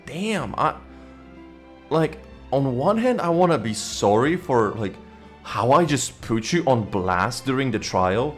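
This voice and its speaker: Animated man casually commentating a game. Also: medium pitch, takes it slowly. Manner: reactive, informal, sweary. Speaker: game streamer. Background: game music.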